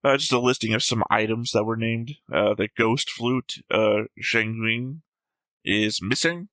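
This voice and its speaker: Bright-voiced man reading his notes. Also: fairly low pitch, a little slow, dry recording. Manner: methodical, reads out notes, careful about spoilers. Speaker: reaction show host. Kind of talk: casual discussion reading notes.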